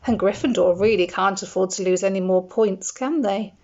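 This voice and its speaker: A woman's voice: mid-range voice, normal speed, a little mellow, lightly acted. Woman doing a sneering cold professor. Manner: smooth, menacing. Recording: dull lo-fi recording.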